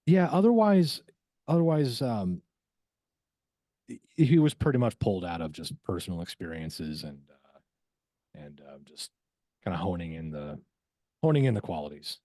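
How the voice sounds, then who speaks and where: Male podcast guest, low pitch, very dry close sound, halting and reflective casual delivery. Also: very animated, dark tone, no echo. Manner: halting, reflective, repeats words. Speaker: voice actor guest.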